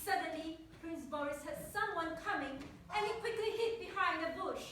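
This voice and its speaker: A woman's voice: very high voice, normal speed. A woman narrating a scripted children's fairy tale. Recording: echoey room from far off, faint noise.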